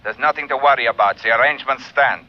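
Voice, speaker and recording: A man's voice: medium pitch, lightly acted. Man playing a cold, commanding criminal boss. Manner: curt, deliberate, commanding. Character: cold, controlled male criminal mastermind giving orders. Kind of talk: vintage radio drama acting. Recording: dull old radio drama recording.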